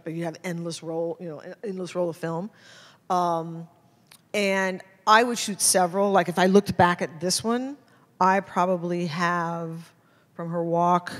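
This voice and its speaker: A middle-aged American woman answering audience questions as a guest speaker, casual and halting, often restarting her sentences. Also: on the low side. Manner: halting, self-interrupting, informal. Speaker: guest photojournalist. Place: indoor hall at a public talk. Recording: amplified in a reverberant hall.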